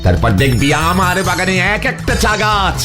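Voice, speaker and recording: A man voicing a boastful, hot-tempered neighborhood schemer in a comic cartoon, with bombastic, drawn-out, scolding delivery. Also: high voice, big pitch swings, over loud music.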